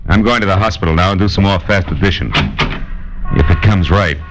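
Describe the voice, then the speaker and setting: An actor playing a tough, world-weary American private detective in 1940s radio noir, wry and clipped. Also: male voice, sits low, normal speed, background noise, close to an everyday voice. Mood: pressing, brisk. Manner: hardboiled, wry, clipped delivery. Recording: old radio drama with music behind.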